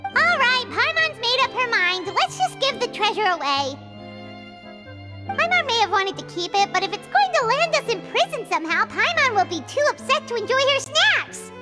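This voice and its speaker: A woman voicing a small, excitable, childlike fairy companion in a video game, squeaky and talking about herself by name. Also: moderate pace, big-room sound, over music. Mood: chirpy, decisive. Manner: exclaims, refers to herself by name. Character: small childlike fairy companion, excitable, food-loving, third-person talker.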